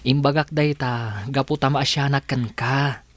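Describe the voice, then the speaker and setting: A man's voice: medium pitch, steady tone, a little slow, no echo, lightly acted. An actor playing a concerned, persistent young male neighbour in a radio drama, with an earnest, insistent delivery. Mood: earnest warning.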